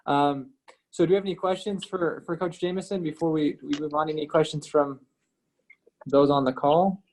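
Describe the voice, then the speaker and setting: A man moderating a video call, talking casually and haltingly, with many restarts. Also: on the high side, fairly even intonation, a little mellow, low-quality recording.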